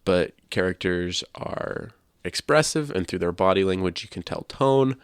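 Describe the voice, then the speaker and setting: A man's voice: low voice, lively intonation, a little slow, clean tone, no room sound, studio quality. A man hosting a podcast, sharing his opinions in casual discussion. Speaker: podcast host.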